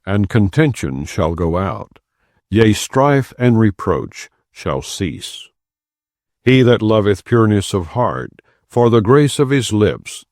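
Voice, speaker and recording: A man reading scripture as an audiobook narrator, in a measured, solemn, non-dramatized style. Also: deep voice, darkish tone, no echo, studio quality.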